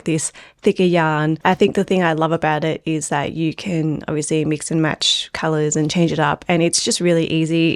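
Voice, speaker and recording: Woman, knitwear designer, lower register, casual and rambling, slightly phlegmy, dry close sound. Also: no room sound. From Australia. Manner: chatty, rambling, many filler "like"s. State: phlegmy throat from a cold. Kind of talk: casual pattern review chat.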